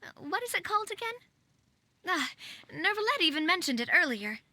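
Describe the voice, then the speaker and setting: A woman playing a chatty, polite, curious young woman in a video game, with a chirpy and expressive delivery. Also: extremely high pitch, a little fast, no room sound, full character voice. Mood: amused. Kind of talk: animated video game voice acting. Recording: faint background music.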